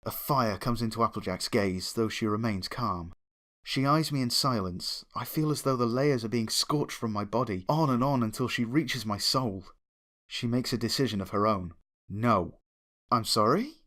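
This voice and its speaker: Male narrator, medium pitch, dramatic story reading, scripted. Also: moderate pace, a bit bright. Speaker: fan fiction reader, narrator.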